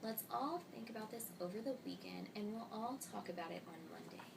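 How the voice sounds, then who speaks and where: A woman voicing a concerned, gently questioning female schoolteacher in a children's storybook. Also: mid-range voice, brisk, vocal fry. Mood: gentle. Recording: distant mic, loud noise.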